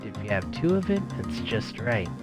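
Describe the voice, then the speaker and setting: A man commentating a game playthrough, reading the on-screen text aloud and thinking a riddle through out loud. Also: fairly low pitch, very animated. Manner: slow, musing, talks through the riddle. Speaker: gaming commentator. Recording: loud game music behind the voice.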